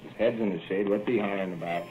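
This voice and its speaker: An actor playing a laconic plumber's helper, answering in short, drawling lines in 1940s radio drama style. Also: male voice, lowish voice, fairly even intonation, fast talker, darkish tone, natural voice. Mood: lazy deadpan. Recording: muffled old radio broadcast with faint music.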